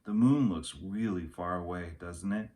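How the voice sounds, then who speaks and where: A man's voice: low voice, unhurried, mellow. A man voicing an eager, imaginative young girl in a storybook read-aloud. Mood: curious.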